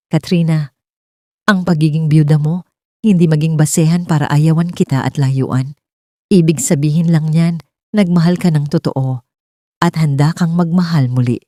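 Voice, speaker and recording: An earnest male suitor in a romance story, acted by a woman. He speaks sincerely and gently, patient and persuasive, in a mellow, close dry recording. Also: low voice, no room sound, close to an everyday voice. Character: earnest, patient man in love, a workplace supervisor.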